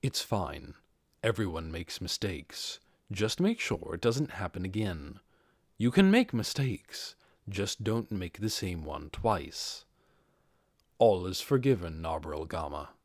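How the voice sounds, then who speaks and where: A man voicing a composed, commanding undead overlord with a measured, authoritative manner, in an audiobook. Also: sits low, sing-song, dry recording, clean studio sound, voice pushed down, heavily acted. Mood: stern but forgiving.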